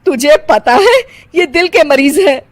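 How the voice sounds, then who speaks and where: A woman's voice: sits high, expressive, a little slow, dry recording, voice pushed up. Woman doing a stern, scolding mother-in-law. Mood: angry. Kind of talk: cartoon story voice acting.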